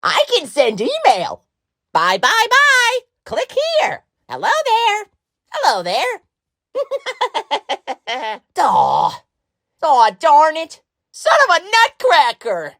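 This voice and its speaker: A woman's voice: high-pitched, wildly swooping pitch, very slow, fairly bright tone, no room sound, studio quality, full character voice. A woman voicing a cutesy, pesky digital helper character in a video game. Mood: chirpy. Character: chirpy, pesky computer-helper animatronic.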